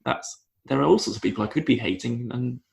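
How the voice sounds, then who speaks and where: Gravelly guest, thoughtful and halting. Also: male voice, medium pitch. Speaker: podcast guest. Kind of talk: casual interview talk.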